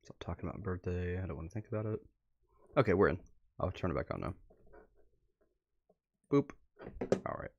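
A man with a fairly low voice hosts a livestream in a casual, chatty way. He talks as if to viewers and to himself, dropping muttered asides as he works through what is on his computer. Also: animated, brisk, gravelly, long pauses, muffled recording. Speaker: livestream host. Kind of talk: casual livestream commentary, reading posts aloud. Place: indoors at a computer.

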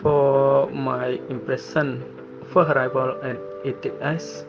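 A student from Cambodia speaking simple, halting English. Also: male voice, mid-range voice, little pitch movement. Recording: dull audio with music underneath.

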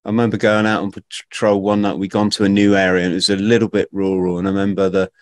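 Male podcast host, middle-aged, lowish, jokey and relaxed, dry close studio mic. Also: fast, no echo. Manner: laughing, jokey asides, relaxed banter. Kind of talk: casual interview chat. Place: home studio. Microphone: studio mic with headphones.

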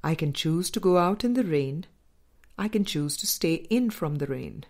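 A woman reading a spiritual audiobook as scripted narration, in measured, deliberate phrases with pauses. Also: sits low, expressive, a little mellow. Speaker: audiobook reader.

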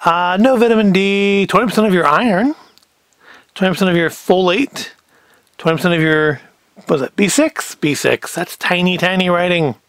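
A man's voice: high voice, moderate pace, fairly bright tone, smooth, dry recording. A middle-aged man giving a casual, unscripted product review as host, with wry, sarcastic asides. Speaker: host of a food review show.

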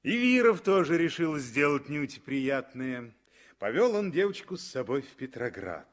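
A man narrating a 1960s radio drama as a storyteller, with expressive, dramatic phrasing. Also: on the high side, moderate pace.

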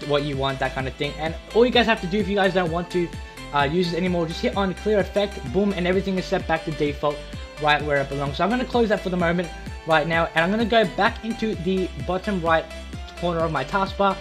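A young man giving a casual, informal software tutorial, repeating himself as he explains. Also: high-pitched, fast. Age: young adult. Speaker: tutorial video host. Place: small home room. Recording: faint music behind voice.

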